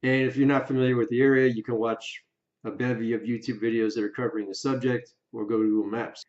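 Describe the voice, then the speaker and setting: A man giving opinion commentary for an online video, speaking emphatically and deliberately in short, separated phrases. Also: mid-range voice, flat delivery, a little mellow. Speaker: YouTube video creator. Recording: roomy echo, slightly dull sound.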